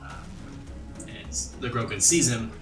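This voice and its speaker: A man's voice: mid-range voice, expressive, unhurried. A man narrating a tabletop role-playing game as game master, in a descriptive storytelling way. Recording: roomy echo, distant mic, music underneath. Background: music bed.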